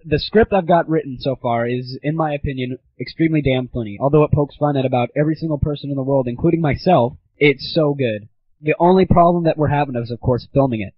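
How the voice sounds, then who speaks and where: A man's voice: medium pitch, fast talker, breathy edge. A man talking casually as a comedic podcast host, in an irreverent, sweary, slangy manner. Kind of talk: casual comedic show talk. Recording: muffled phone-like lo-fi audio.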